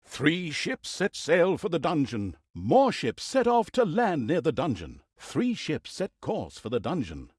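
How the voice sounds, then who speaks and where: A theatrical male storyteller narrates a comic fantasy game with arch, droll relish. His fairly high voice swoops dramatically up and down. The delivery is wry and storybook-like, in a dry, close studio sound. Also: darkish tone, breathy edge, no echo, heavily acted.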